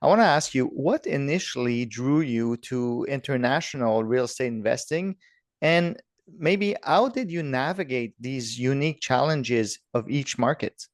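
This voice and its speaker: A man's voice: mid-range voice, animated, measured pace, no room sound, studio quality. A man hosting a podcast, upbeat and direct as he asks questions. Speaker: podcast host. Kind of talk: podcast interview.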